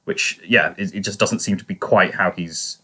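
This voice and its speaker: A man with a fairly low but bright, forward voice chats as a podcast co-host. He offers analysis in a tentative, qualified way. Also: steady tone, moderate pace. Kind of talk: casual analytical discussion.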